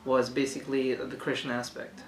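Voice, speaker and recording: A young man from Chile telling his personal story as an interview guest, halting with many fillers and restarts. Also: mid-range voice, level tone, roomy sound, slight background noise. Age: young adult.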